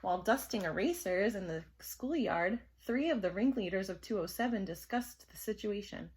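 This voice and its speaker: A woman narrates a children's story with expressive delivery. Her pitch sits in the middle range, and she shapes the sentences dramatically for young listeners. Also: moderate pace.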